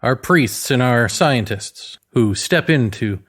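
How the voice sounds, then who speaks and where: A man's voice: mid-range voice, expressive, takes it slowly. Man delivering a sardonic philosophical monologue. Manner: rhetorical questions and emphatic repetition. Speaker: commentator delivering a solo monologue.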